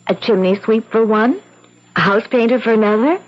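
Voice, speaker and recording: An actress playing a clever, affectionate young fiancée in a 1970s radio drama, speaking with theatrical emphasis and coaxing repetition. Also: female voice, on the high side, moderate pace, close to an everyday voice. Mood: knowing, teasing. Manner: theatrical, emphatic, repeats words for effect. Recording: muffled 1970s radio broadcast.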